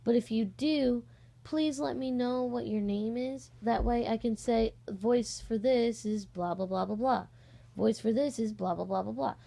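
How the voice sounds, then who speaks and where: Young woman rambling casually on a vlog, lots of vocal fry, kinda scattered. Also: a little high, measured pace, mellow. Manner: informal, scattered, easily sidetracked. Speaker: amateur voice actor and video host. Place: on a bed at home, indoors.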